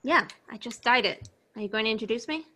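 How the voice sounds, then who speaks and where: A cool, blunt young woman, read by an actress. Her voice is fairly high and bright, her delivery laid-back as in an amateur read-through of a film script. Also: expressive, quick pace. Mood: casual. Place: remote video call.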